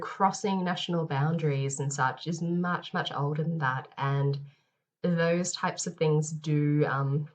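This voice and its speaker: A woman lecturer with a head cold, sounding nasal and a bit hoarse, giving a recorded lecture with many ums and restarts. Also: low voice, a little mellow, dull audio. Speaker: university lecturer.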